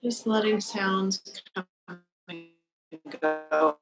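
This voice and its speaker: A woman leading a guided meditation as a teacher, speaking in a calm, gentle, unhurried manner with long pauses, over a video call. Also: medium pitch, vocal fry, faint music behind. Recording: noisy live video-call recording.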